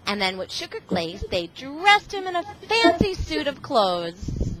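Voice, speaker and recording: A woman's voice: high-pitched, very animated, a little slow, heavy background noise. A middle-aged woman reading aloud to a class of children over a video call, exclamatory and often asking them questions. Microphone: video call microphone.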